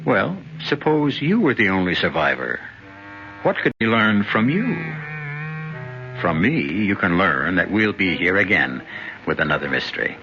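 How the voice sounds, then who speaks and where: A man hosting a radio drama, musing in scripted, theatrical narration. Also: fairly low pitch. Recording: dull old radio broadcast.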